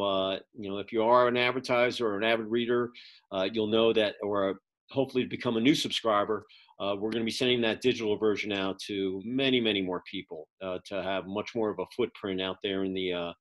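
A man's voice: fairly low pitch, a bit bright. A middle-aged man, a magazine publisher, giving a casual update over a video call from a home office, rambling with many fillers. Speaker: magazine publisher and event producer. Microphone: video call webcam.

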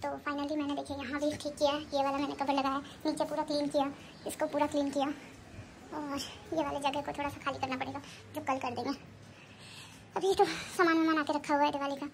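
A woman's voice: high-pitched, flat delivery, a little fast, slight background noise. A young woman from India with a Hindi accent, chatting casually to viewers as she cooks and tidies her home, explaining each step. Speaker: family vlogger. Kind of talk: casual home vlog narration. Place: home kitchen and bedrooms, indoors. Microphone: handheld phone at arm's length.

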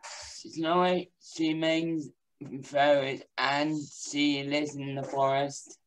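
A man's voice: fairly high pitch, level tone, very slow, smooth, rough recording. A young man playing a frank magic mirror in an amateur pantomime, reciting the lines plainly.

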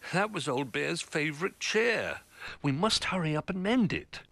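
A man's voice: a little high, lively intonation, measured pace, creaky, a bit bright, dry recording, voice pushed up, full character voice. A man voicing a small, young, earnest toy bear in a storybook, childlike and hesitant. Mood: worried.

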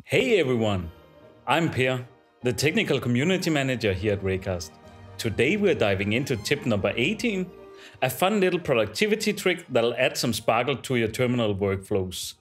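An upbeat man walks through a software trick with a lively, bouncing delivery. Faint music plays behind him. He sounds playful rather than formal. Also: medium pitch, moderate pace. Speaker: technical community manager. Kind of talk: scripted product tip.

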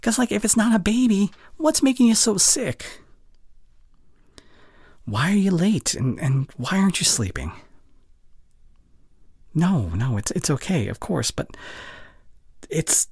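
A young man playing an anxious, caring boyfriend in an intimate ASMR roleplay, speaking softly and hesitantly close to the mic. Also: sits high, very animated, a little slow, pauses often, no echo, heavily acted. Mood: concerned, loving. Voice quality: soft, breathy. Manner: gentle, hesitant, murmuring reassurance. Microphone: close microphone.

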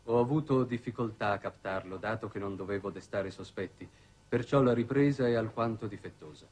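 A man's voice: lowish voice, a little fast, a little mellow, lightly acted. An actor playing a cold, impassive male secret agent, narrating a formal report in a 1960s television drama.

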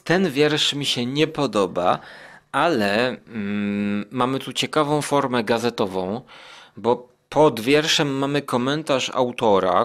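A man hosting a podcast, reviewing things in a casual, hesitant and digressive way. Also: mid-range voice. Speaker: podcast host.

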